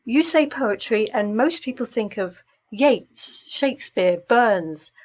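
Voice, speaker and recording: An actress in a radio play playing a blunt, pragmatic sister with a wry way of talking. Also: female voice, a little high, expressive, takes it slowly, darkish tone. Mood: wry, pressing.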